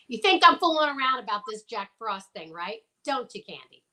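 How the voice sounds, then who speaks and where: A woman playing a frazzled, sharp-tongued department store employee in an amateur old-time radio drama, emphatic, slangy and exasperated. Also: on the high side, big pitch swings, normal speed.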